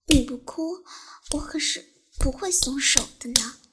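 A woman's voice: high voice, a little slow, slight background noise. A young woman voicing a cruel, taunting tormentor in an intimate audio roleplay, teasing and mocking, often sinking into a whisper. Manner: laughs softly between taunts.

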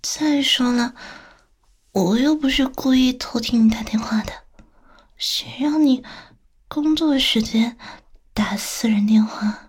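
A woman playing a teasing, coaxing female boss flirting with a younger employee in an intimate, whispered audio roleplay. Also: on the high side. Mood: sheepish confessing. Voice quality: breathy, whispery. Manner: slow, coaxing, tender, teasing.

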